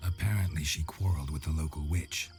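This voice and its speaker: An actor playing a gruff, world-weary monster hunter in a video game, terse and deadpan with a gravelly voice. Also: male voice, a bass voice, fast talker, music in the background. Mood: wry, dry. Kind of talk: video game drama acting.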